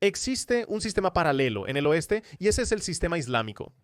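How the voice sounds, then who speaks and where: A man's voice: a little high, sing-song, brisk, background noise. A man presenting a scripted political commentary monologue in a polemical, sardonic manner. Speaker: commentator and host of opinion video.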